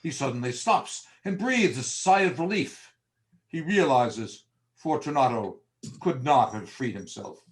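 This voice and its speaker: A man's voice: medium pitch, animated, a little slow. A man narrating a gothic horror drama from a script in a theatrical, storytelling style.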